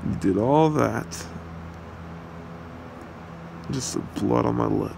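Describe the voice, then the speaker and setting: A man's voice: medium pitch, slow. A man voicing a possessive, cruel demon husband with a menacing, taunting manner in an ASMR roleplay. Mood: mocking. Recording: clearly noisy home recording, steady hum.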